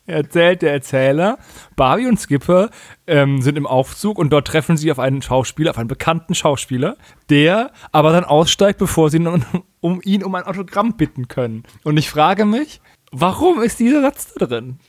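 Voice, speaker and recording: A man's voice: fairly high pitch, animated, moderate pace, no echo, studio quality. A man in a casual, humorous podcast chat, co-hosting with colloquial, mock-serious exaggeration. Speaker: podcast co-host. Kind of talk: casual humorous chat recapping an audio drama.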